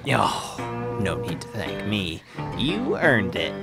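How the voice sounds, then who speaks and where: A man playing a chummy dungeon master who levels up his players with cheer. He talks slowly, and his pitch bounces lively. Music plays clearly behind him. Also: exaggerated voice. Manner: chummy, announcing.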